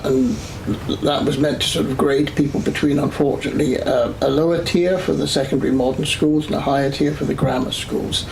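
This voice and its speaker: A man in his sixties with an English accent, recounting his life in a measured oral history interview full of "uh" pauses. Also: on the high side, a little hiss or hum, poor audio. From Scotland, raised in England. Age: 60s. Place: his home.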